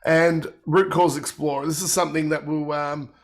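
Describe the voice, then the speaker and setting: A man with a cold and a croaky, gravelly voice, presenting a technical workshop and walking through product features. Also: on the high side, steady tone, moderate pace.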